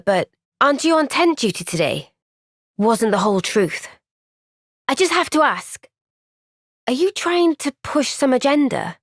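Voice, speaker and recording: A woman with a British accent voicing an earnest, gentle, thoughtful young woman soldier in a video game cutscene. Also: high-pitched, no echo, clean studio sound.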